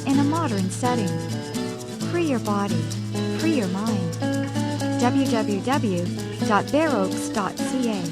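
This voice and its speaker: A woman reading a scripted ad spot in a measured, even voice, spelling out a web address slowly. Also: fairly high pitch. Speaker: ad announcer. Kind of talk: scripted ad read. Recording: voice over loud guitar music.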